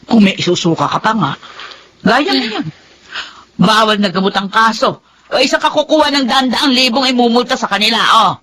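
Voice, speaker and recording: An actress playing an exasperated middle-aged elder sister, nagging forcefully in a radio drama style. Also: female voice, on the high side, brisk, a little hiss or hum, close to an everyday voice. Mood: scolding, exasperated.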